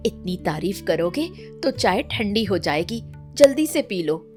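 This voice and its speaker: A woman playing a gentle, caring, cheerful family woman in a cartoon story, sweet in manner. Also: mid-range voice, big pitch swings, a little slow, soft background music.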